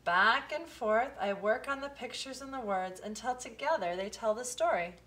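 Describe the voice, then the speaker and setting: A woman reading a children's picture book aloud, with expressive, deliberate phrasing. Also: fairly high pitch. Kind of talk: storybook read-aloud for children.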